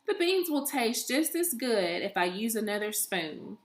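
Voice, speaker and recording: An easygoing, forgetful storybook grandmother, voiced by a woman reader, busy and big-hearted. She speaks slowly and emphatically in a higher voice, with the room echoing around her. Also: sing-song. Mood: easygoing, reassuring. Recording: echoey room.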